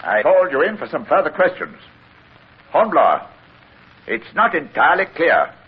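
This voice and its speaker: An actor playing a stern, authoritative British naval captain in an old radio drama, curt, formal and husky. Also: male voice, on the high side, wildly swooping pitch, moderate pace, exaggerated voice. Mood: stern, measured. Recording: phone-like vintage radio recording.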